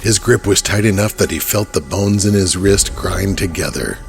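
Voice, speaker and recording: A man's voice: low voice, moderate pace, vocal fry, faint music behind. A man narrating a horror audio drama in a scripted storytelling style.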